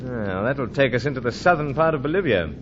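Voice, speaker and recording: Guy playing chummy british secret agent, old radio serial, super animated, dull noisy audio. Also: medium pitch, a little fast, lightly acted. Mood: hearty, reassuring. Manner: plummy old-school politeness, chummy. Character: British secret agent, hearty, polite, unflappable gentleman adventurer. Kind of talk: old-time radio adventure serial acting and narration. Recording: muffled recording with clear engine noise.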